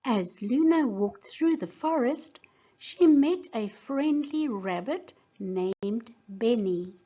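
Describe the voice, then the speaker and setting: A woman teacher giving a scripted lesson and narrating a storybook in a deliberate, simple way for young learners. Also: a little high, lively intonation, dark tone, slightly rough, highs cut off. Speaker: English teacher reading a children's story.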